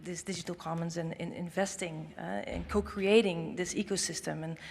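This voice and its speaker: A woman's voice: lowish voice, steady tone, moderate pace, darkish tone, breathy edge. A woman speaking on a conference panel, hesitant, with many fillers and restarts. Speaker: panelist, think tank director. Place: large conference hall. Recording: long hall reverb.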